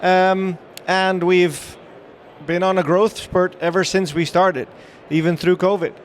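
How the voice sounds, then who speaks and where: A middle-aged Dutch man talks to a crowd at a trade show, upbeat and chatty, often pausing on fillers and restarting his sentences. His voice sits somewhat high for a man. A faint wash of show-floor noise runs behind him. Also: fairly even intonation. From Netherlands. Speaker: dive training director giving a trade-show talk. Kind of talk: live presentation to an audience.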